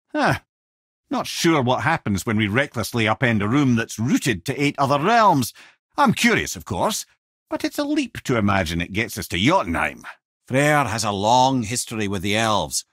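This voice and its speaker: A Scottish actor voicing a witty, talkative old male sage in a video game, with a husky voice and a wry storyteller's manner. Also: medium pitch, fairly bright tone, no room sound, studio quality. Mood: dry, sardonic.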